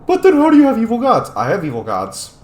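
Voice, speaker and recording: A man's voice: sits high, wildly swooping pitch, fast. A man giving casual gameplay commentary as a video host, informal, joking and sometimes profane. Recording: roomy echo, faint noise.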